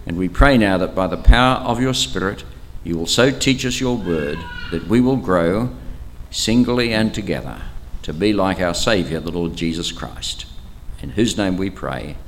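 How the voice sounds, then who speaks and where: An older man with an Australian accent speaks at a church camp. His low, powerful voice drifts on and off the microphone, and he talks in a chatty, classroom-teacher way with frequent hesitations. A faint background hum runs under his speech. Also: animated, normal speed. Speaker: archbishop leading a Bible study. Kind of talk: interactive Bible study talk. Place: church camp meeting room. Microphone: microphone, sometimes moves away from it.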